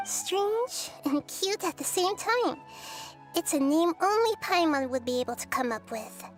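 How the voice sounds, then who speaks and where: A woman voicing a gentle young goddess who explains things calmly and kindly, in video game dialogue. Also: very high-pitched, unhurried, faint music behind. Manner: calm, explaining, kind. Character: gentle young-looking goddess, calm scholar.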